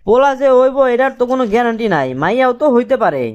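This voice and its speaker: A man's voice: extremely high pitch, expressive, racing, darkish tone, lo-fi sound. A man voicing an eager, naive young political errand boy in a cartoon, with colloquial Bangladeshi speech. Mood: earnest. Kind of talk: cartoon dialogue.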